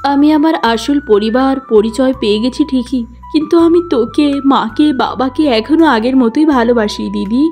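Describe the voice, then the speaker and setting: A woman voicing a gentle, forgiving young princess in a cartoon fairy tale. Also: on the high side, moderate pace, faint music behind, natural voice. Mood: tearful, loving.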